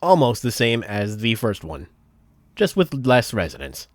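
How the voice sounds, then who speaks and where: A man's voice: fairly low pitch, huge pitch swings, measured pace, dry recording. Dry, methodical male tutorial narrator. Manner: methodical, explanatory. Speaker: software tutorial host. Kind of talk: step-by-step instructional voice-over.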